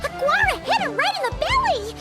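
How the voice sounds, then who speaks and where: A woman voicing a small, excitable childlike fairy companion in a video game, squeaky and full of cheers. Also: a little fast, music in the background. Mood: excited. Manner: exclamatory, cheerleading.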